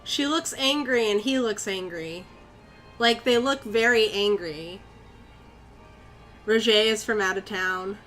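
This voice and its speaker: A woman's voice: mid-range voice, slow pace, pauses often, fairly bright tone, soft background music, low-quality recording. A young woman from Connecticut streaming a horror game, chatting with viewers, easily spooked and swearing. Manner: jumpy, easily spooked, swears and chats with chat. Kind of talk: live gameplay commentary.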